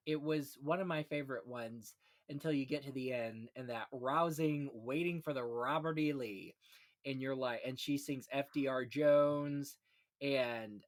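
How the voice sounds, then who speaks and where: Man hosting a casual film podcast. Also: medium pitch. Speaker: podcast host.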